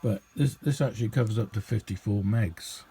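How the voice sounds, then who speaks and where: Mellow, low-voiced British man, rambling casually. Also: a little fast. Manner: rambling, hesitant, easygoing. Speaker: hobbyist radio demonstrator. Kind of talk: casual, unscripted video demonstration. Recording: clearly noisy amateur home recording.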